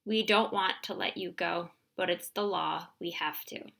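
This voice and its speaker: A woman voicing a gentle, defeated father and community chief in a read-aloud story, speaking softly and calmly. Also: medium pitch, measured pace. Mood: sad. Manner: soft, calm.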